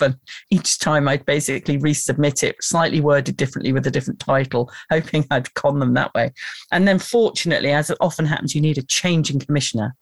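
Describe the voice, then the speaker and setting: Gravelly woman telling stories. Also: very deep voice, no echo. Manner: animated, laughs often, acts out voices. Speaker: documentary producer and presenter. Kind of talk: conversational interview storytelling.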